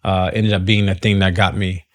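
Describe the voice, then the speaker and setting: Guy with a lowish voice, laid back, lots of uh's, dry close sound. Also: fairly quick, no room sound. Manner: relaxed, hesitant, laughs often. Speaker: guest singer. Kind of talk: conversational interview.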